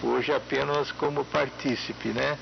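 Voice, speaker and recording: A man's voice: medium pitch, steady tone, unhurried, creaky. A Brazilian man chatting casually over amateur radio, friendly and talkative, giving greetings and call signs with frequent tag questions. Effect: radio transmission. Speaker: amateur radio operator on a group round. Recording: muffled, noisy radio transmission with hiss and buzz.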